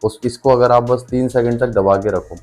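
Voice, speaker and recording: A man's voice: medium pitch, steady tone, normal speed, a little mellow, soft background music. A man giving a casual hands-on product demonstration, chatty and informal, talking straight to viewers like a vlogger. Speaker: motorcycle vlogger and product reviewer.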